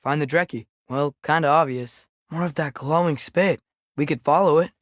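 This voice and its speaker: A man's voice: on the high side, moderate pace, dry recording, studio quality, natural voice. A young male actor with an American accent voicing an earnest, curious teenage boy adventurer in a video game, speaking in a casual, questioning way. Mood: inquisitive, matter-of-fact. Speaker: video game companion character. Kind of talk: scripted game dialogue, conversational.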